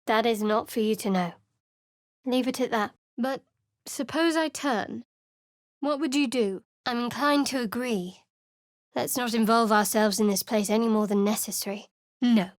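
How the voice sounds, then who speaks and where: A woman's voice: a little high, dry recording, lightly acted. An actress voicing a guarded, sardonic young female cleric in video game voice lines, with a cool, dry manner.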